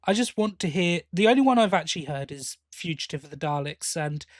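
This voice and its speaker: Guy hosting a fan podcast, chatty and opinionated, voice a bit high, no room echo. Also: lively intonation, fairly quick, studio quality. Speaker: podcast host. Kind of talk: casual fan review chat.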